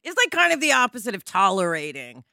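A woman's voice: mid-range voice, huge pitch swings, fast, gravelly, sharp tone, no room sound, studio quality. An American woman co-hosting a podcast in a casual, conversational style. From United States. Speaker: podcast co-host.

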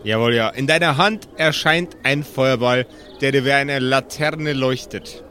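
A man narrating an improvised tabletop game as game master, deliberately and atmospherically. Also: medium pitch, bright, forceful tone.

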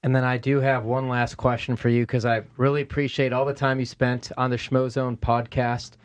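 A man's voice: medium pitch, normal speed. A man hosting a podcast, chatting casually and reading a sponsor plug with energy. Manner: energetic, upbeat, pushes promo. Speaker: podcast host. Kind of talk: casual podcast chat with ad read.

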